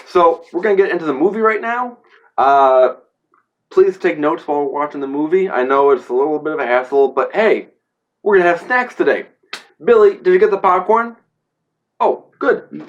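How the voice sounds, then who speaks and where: A man's voice: medium pitch, muffled recording. Congested man, casual teacher-style review. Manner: rambling, with many fillers and playful asides. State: congested from a cold. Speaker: history teacher reviewing a film.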